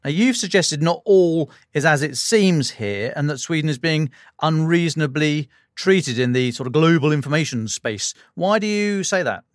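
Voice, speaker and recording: A man's voice: a little high, animated, moderate pace, no echo, clean studio sound. A man interviewing as a podcast host in a conversational news style.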